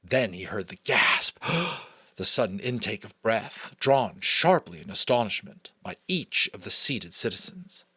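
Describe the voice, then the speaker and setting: Male American storyteller, very expressive intonation, suspenseful dramatic read, dry close sound. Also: lowish voice, a little slow, husky, no echo. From United States. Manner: theatrical, suspenseful phrasing. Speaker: audiobook reader. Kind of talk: dramatic scripted narration.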